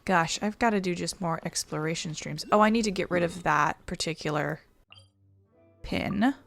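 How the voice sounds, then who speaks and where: A woman's voice: lowish voice, normal speed, creaky, fairly bright tone, soft background music. A woman giving casual gameplay commentary as a game streamer.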